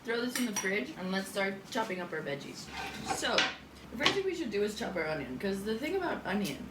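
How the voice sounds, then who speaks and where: A woman's voice: mid-range voice, a little fast. A young woman, chatting and rambling animatedly through stories while cooking in her home kitchen. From Mexico. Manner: rambling, animated storytelling with swearing. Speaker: cooking vlogger. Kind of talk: casual chatty cooking vlog. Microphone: far camera. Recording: roomy kitchen sound from a distance, audible noise.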